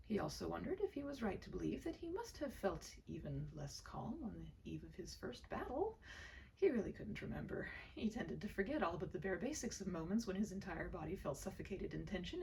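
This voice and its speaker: A woman reading a story aloud as expressive, dramatic narration, with phrase endings that drop to a hushed breath. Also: mid-range voice, creaky, far from the mic, a little hiss or hum, poor audio. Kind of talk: expressive scripted narration of a historical romance tale.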